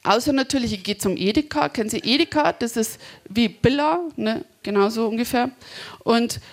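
A woman's voice: on the high side, normal speed. A woman in her forties with a Bavarian Regensburg accent and a slightly nasal voice, chatty and punchy, switching between a stand-up set and an interview. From Bavaria, Germany. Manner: chatty, punchy, self-mocking. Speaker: cabaret comedian.

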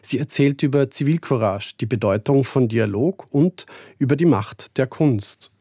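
A man announces upcoming radio programmes in a smooth, steady way. His voice is mid-pitched. The sound is dry and close. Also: moderate pace, no echo. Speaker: radio presenter.

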